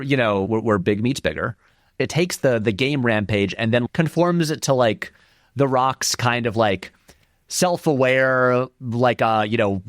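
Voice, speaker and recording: A man's voice: medium pitch, no echo, studio quality. A man giving casual, conversational commentary as an interview guest, with restarts and lots of filler words. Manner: animated, acts out short quotes in passing; filler-heavy, frequent "like" and restarts. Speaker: interview guest, film video essayist.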